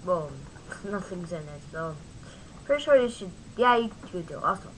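A woman giving a casual software tutorial in an informal, halting way, often interrupting and talking to herself. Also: fairly low pitch, very animated, slight background noise, low-quality recording. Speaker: tutorial host. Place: at home, at a computer.

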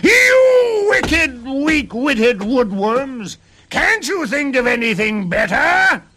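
A man's voice: very high voice, sing-song, slow, sharp tone, pitched above the performer's own voice, heavily acted. A man voicing a tiny, bad-tempered old dwarf in a storybook tale, sputtering and screeching out insults. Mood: raging. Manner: sputtering, screeching, alliterative ranting.

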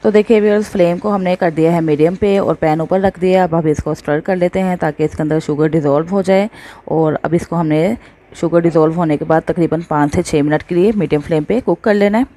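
A woman's voice: fairly low pitch, brisk, mellow. A woman hosting a home cooking video, explaining each step to her viewers in a friendly, patient way. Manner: friendly, addresses viewers often, mixes in English words. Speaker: cooking channel host. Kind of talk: step-by-step recipe voice-over.

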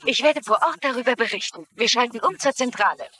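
A woman playing a brisk television news reporter in a dubbed crime drama. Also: fairly high pitch, bright, forceful tone, slight background noise. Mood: brisk, upbeat.

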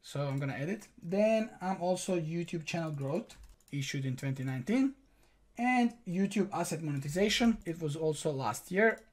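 A man's voice: on the high side, animated, moderate pace. A young man whose first language is Croatian, hosting a screen-recorded tutorial and walking viewers through each step in a direct, instructive way from a small room. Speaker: online tutorial host.

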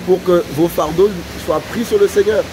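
A man's voice: high-pitched, moderate pace. A man interviewing as a street evangelist in a conversational, insistent way, often repeating himself. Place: outdoors on a city street. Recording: outdoor street noise.

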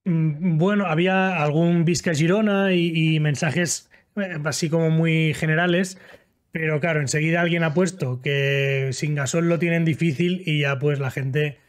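Man, from Spain, panelist reading the live chat, relaxed tone, somewhat high voice. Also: normal speed. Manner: relaxed, reads out comments. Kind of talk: casual chat.

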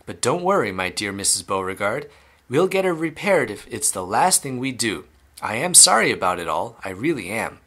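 A man's voice: on the low side, sing-song, moderate pace, bright, forceful tone, full character voice. A man voicing an eccentric sweet inventor in a children's story, rueful yet blithe, with a fussy, sighing manner. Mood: brisk, reassuring.

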